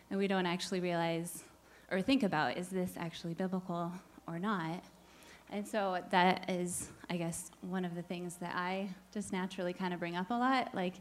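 A woman in her forties from the United States answers questions in a church service, candid and a little nervous, working from notes. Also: fairly low pitch. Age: 40s. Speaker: church search team member.

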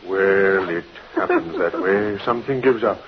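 A man's voice: low voice, measured pace. A man playing a matter-of-fact doctor in vintage radio drama, businesslike and dryly reassuring. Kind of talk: 1950s radio drama acting. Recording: muffled old radio recording with hiss.

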